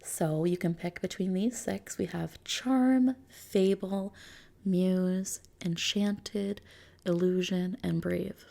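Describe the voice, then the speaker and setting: A woman doing an ASMR roleplay as a customization consultant, talking softly and unhurriedly to a customer in a gently explanatory, reassuring way. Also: on the low side, slow pace, gravelly. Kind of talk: ASMR roleplay, soft customer-service talk.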